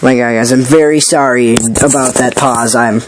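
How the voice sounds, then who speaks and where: A man who is sick with a raspy voice, casually walking through his card game deck in a rambling, filler-heavy, apologetic way. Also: mid-range voice, fairly even intonation, moderate pace, a little hiss or hum.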